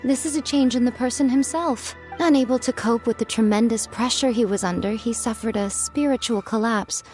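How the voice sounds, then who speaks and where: An actress playing a sly, elegant noblewoman in a game, speaking with teasing, composed calm. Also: on the high side, a little fast, faint music behind, lightly acted. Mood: knowing.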